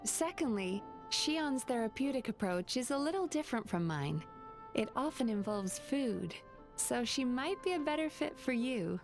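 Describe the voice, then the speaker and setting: A woman's voice: a little high, expressive, moderate pace, music in the background. A woman voicing a poised, courteous female spirit therapist in a video game, polite and composed. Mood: reassuring. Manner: composed, polite, gently teasing.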